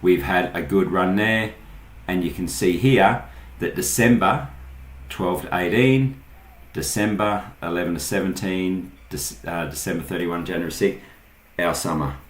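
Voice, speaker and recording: Low voiced aussie guy doing a casual online tutorial, thinks out loud, bit echoey. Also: measured pace. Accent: Australian. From Australia. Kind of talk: casual unscripted screen-share tutorial. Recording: roomy, echoing voice recording.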